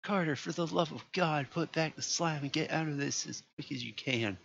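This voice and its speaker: A man voicing a terrified man trapped in a vault, pleading and shouting in panic. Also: medium pitch, moderate pace, pitched above the performer's own voice, natural voice. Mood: frantic. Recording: lo-fi with faint noise.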